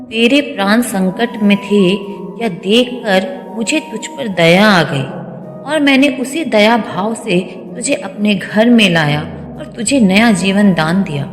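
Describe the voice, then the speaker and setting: A woman's voice: medium pitch, lively intonation, takes it slowly. A woman voicing an angry, betrayed farmer and father in a storybook, scolding in formal reproach. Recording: echoey reverberant voice with music behind.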